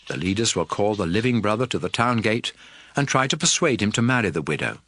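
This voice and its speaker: A man narrating scripture in a measured, authoritative manner for a dramatized audio production. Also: fairly low pitch, sing-song, fairly bright tone, no echo. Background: music.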